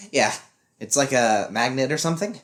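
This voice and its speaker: A man's voice: mid-range voice, very animated, measured pace. A man playing an awkward, unsure young novice fighter in a fan audio drama, hesitant and sheepish. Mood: awkward, amused.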